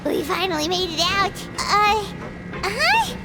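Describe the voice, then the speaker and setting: Super high squeaky lil game sidekick fairy, childlike n excitable, really bouncy pitch, loud music behind. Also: female voice, takes it slowly, exaggerated voice. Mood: puzzled. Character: tiny excitable loyal childlike companion sprite. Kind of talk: video game voice acting.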